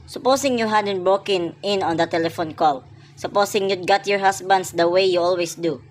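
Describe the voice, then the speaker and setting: A woman's voice: lowish voice, moderate pace, slight background noise, lightly acted, poor audio. Woman playing a weary, dismissive police desk officer. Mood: skeptical, weary. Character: unimpressed, patronizing city police officer on desk duty. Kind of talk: radio play acting.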